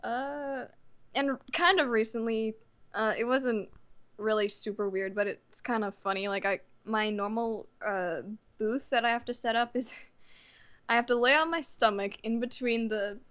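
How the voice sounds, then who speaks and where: A nineteen-year-old woman chatting casually as an interview guest, with a giggly, animated way of telling stories. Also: fairly high pitch, measured pace, clean tone. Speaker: guest, amateur voice actor.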